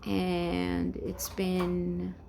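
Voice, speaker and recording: A woman in her forties from Brazil, speaking English with a Brazilian Portuguese accent and a halting, hesitant way of searching for words, in a rambling personal vlog recorded at home. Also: on the low side, monotone, vocal fry, mellow, a little hiss or hum. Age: 40s. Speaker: vlogger. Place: home, indoors.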